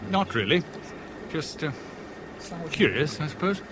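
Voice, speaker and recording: An actor playing a polite, guarded English gentleman traveller in a 1970s radio drama, formal and slightly hesitant, with a British accent. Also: on the high side, big pitch swings, unhurried, background noise. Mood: reserved, polite.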